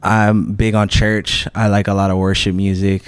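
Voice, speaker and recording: A man's voice: fairly low pitch, flat delivery, moderate pace, clean tone, no room sound. A young man from Hawaii, a musician being interviewed, talking in a laid-back way with many fillers and restarts. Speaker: guest musician. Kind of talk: casual interview talk.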